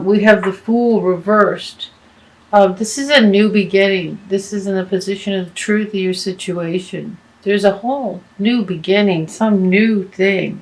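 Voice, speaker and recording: Slow, halting woman reading tarot. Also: mid-range voice, low-quality recording. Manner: halting, many fillers and restarts. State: losing her voice. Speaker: tarot reader.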